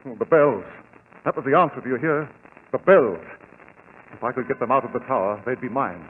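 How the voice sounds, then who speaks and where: An actor playing a desperate, cornered lord, the villain of a radio drama, melodramatic and. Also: male voice, medium pitch, fairly quick, lightly acted. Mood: feverish, greedy. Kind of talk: 1940s radio acting. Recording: reverberant, crackly narrow-band old radio recording.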